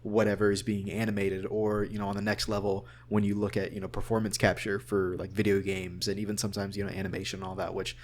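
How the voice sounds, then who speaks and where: A man's voice: lowish voice, little pitch movement, fast talker, few pauses, a little hiss or hum. A man speaking as a voice actor in a casual interview, in an earnest, rambling way.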